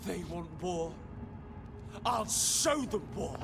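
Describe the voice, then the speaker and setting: A man's voice: sits high, big pitch swings, slow, gravelly, loud music behind the voice, heavily acted. An actor playing an anguished, defiant vampire man in a video game, in a dramatic manner. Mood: furious. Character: anguished, defiant male vampire rejecting his calling. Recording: echoing space with background noise.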